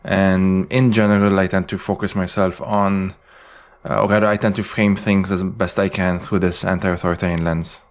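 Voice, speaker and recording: A man's voice: low voice, little pitch movement, moderate pace. A man from Lebanon, a historian giving interview answers in a thoughtful, hedging way with frequent restarts. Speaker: guest historian and essayist.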